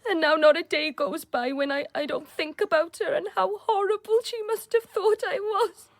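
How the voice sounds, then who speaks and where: An actress playing an earnest, passionate British doctor's wife in a radio drama, speaking in an emotional, confiding, halting way. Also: female voice, very high-pitched, steady tone, no room sound. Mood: earnest, remorseful.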